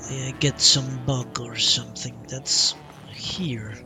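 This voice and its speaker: A man's voice: medium pitch, steady tone, slow, music in the background. A man doing live role-play gaming commentary, unhurriedly reading out his lines as a streamer. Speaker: game streamer.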